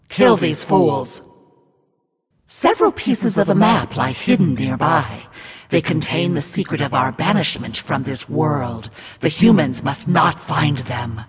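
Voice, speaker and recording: A woman voicing a malevolent female demon who commands the undead in a video game, menacing and imperious, with a raspy voice. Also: sits low, full character voice. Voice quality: raspy, husky. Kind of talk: scripted villain game narration. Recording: long cavernous reverb.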